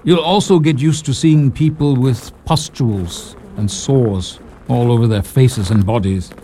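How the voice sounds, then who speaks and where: A man's voice: mid-range voice, unhurried, dark tone, a little hiss or hum, lightly acted. An actor playing a wry English male nurse with a London accent, who now serves as hospital superintendent in a leper colony in an audio drama.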